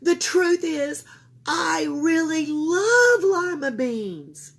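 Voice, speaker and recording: A reluctant, miserable young girl in a storybook, acted out by a woman. Her voice is high and swooping, emphatic and full of groans. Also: expressive, very slow, gravelly, fairly bright tone, exaggerated voice. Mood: pleading confession.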